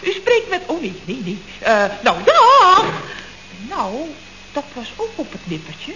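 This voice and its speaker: A giggly gossipy secretary. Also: female voice, sits high, wildly swooping pitch, measured pace, pushed and bright, exaggerated voice. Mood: chatty flustered. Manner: chatty, giggly, breathless gossiping. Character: gossipy, flustered female office secretary. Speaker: office secretary at a building firm. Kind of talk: 1960s radio comedy acting. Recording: dull old radio recording, faint hiss.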